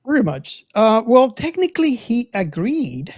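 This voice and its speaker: A man's voice: extremely high pitch, sing-song, unhurried, a little mellow, dry recording, clean studio sound. A man hosting a casual podcast discussion, speaking hesitantly and often repeating his words. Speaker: podcast host.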